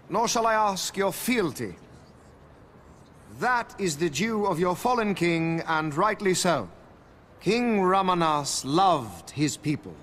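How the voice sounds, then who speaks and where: An actor voicing a lordly, composed young imperial consul in a video game, speaking in formal, measured, archaic oratory. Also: male voice, a little high, a bit bright, slight background noise. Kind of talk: dramatic video game acting, public speech.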